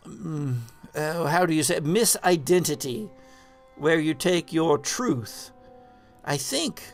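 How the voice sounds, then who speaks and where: A man playing an eccentric spiritualist in a tabletop game, speaking in halting, ornate, philosophical phrases. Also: fairly high pitch, vocal fry, full character voice. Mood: musing. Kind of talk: in-character tabletop roleplay.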